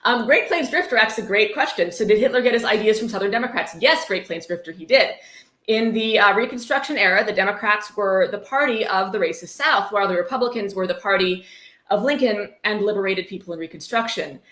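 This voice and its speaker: A woman's voice: medium pitch, fairly quick, a bit bright. A woman hosting a mocking livestream book reading, sarcastic, irreverent and profane, breaking off into casual commentary. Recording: echoey room.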